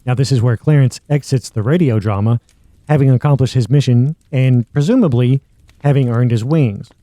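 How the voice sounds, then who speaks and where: A male podcast host recaps a story conversationally. His voice is very dark and mellow at an average pitch, recorded dry and close. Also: moderate pace, no echo.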